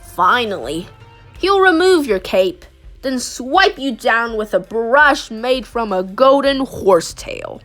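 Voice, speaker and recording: A woman with a high-pitched voice reads a children's story aloud. Her delivery is expressive and animated, with dramatic emphasis on key phrases. Faint music plays behind her. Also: takes it slowly. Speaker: storybook reader. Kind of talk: expressive read-aloud of a children's picture book.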